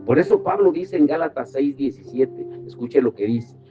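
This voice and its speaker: A man's voice: medium pitch, animated, moderate pace. A man teaching a devotional Bible study as a pastor. He asks the group questions and sends them to verses. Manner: asks rhetorical questions and directs listeners to verses. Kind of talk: teaching sermon. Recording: slightly dull with music behind.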